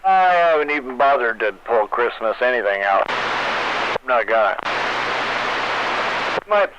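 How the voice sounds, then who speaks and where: A man's voice: mid-range voice, lively intonation, unhurried. A man chatting casually and laconically over CB radio, with a dull, noisy transmission. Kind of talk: casual chat.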